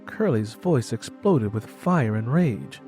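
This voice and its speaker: Male storyteller over soft music. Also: medium pitch, animated, slow. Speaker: narrator. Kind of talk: dramatic scripted story narration.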